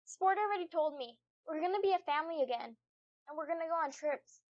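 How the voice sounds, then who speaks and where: A woman's voice: high voice, animated, quick pace, no echo. A female performer playing a stubborn, naive little country boy in a radio drama, with plain childlike talk and a slightly muffled sound. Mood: excited.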